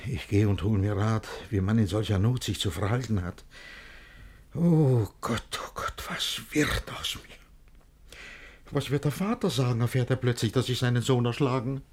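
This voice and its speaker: An actor playing a jealous, scheming middle-aged gentleman in a rhymed-verse radio play, declaiming and swinging between muttering and outbursts. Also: medium pitch, moderate pace, natural voice. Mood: anxious, despairing.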